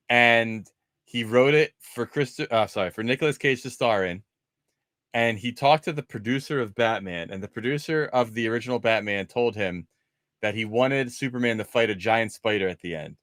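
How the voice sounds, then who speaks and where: A man's voice: medium pitch, expressive, normal speed, a bit bright, dry recording. Man chatting about film, very dry close sound. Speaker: panelist on a movie discussion show. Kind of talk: casual film discussion.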